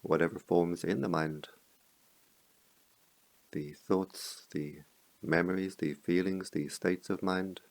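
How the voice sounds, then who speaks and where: A man leading a guided meditation as a teacher, speaking in slow, measured phrases with pauses between them. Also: deep voice, steady tone, vocal fry, dry recording.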